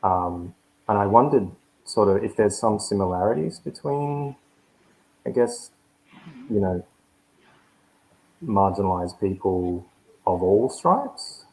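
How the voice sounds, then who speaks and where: Deep, mellow-voiced man moderating. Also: animated, slow pace, long pauses, low-quality recording. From New Zealand Māori. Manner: rambling, tentative questions. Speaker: panel moderator. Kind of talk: panel discussion.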